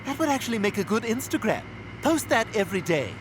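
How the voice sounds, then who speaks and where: An actor voicing an adult male talking dog in an animated sitcom, with a dry, wry delivery. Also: high voice, quick pace, background noise.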